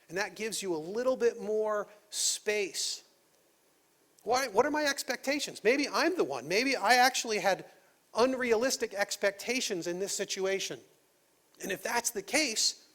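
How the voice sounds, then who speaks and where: High-voiced man preaching conversationally. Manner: conversational preaching with rhetorical questions, dropping to quiet emphasis. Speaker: pastor. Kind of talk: sermon. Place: church sanctuary.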